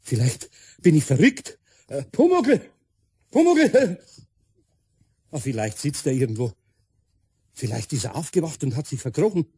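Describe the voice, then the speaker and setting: A man's voice: on the high side, big pitch swings, measured pace, long pauses, warm tone, husky, no echo, full character voice. A man playing a good-natured, grumbly, bewildered older master carpenter with a Bavarian accent in a radio play. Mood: anxious, searching.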